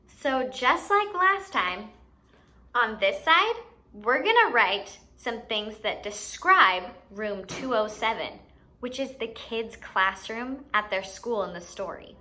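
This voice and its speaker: A woman's voice: fairly high pitch, animated, slow, pushed and bright. A woman hosting a lesson for young children, explaining things simply and expressively and putting questions to the listener. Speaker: children's teacher and show host.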